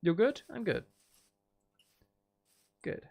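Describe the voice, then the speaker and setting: A man's voice: high voice, sing-song, extremely slow, gravelly, darkish tone. A man doing casual live gameplay commentary, rambling and thinking aloud as he chats with viewers. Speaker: video game livestreamer.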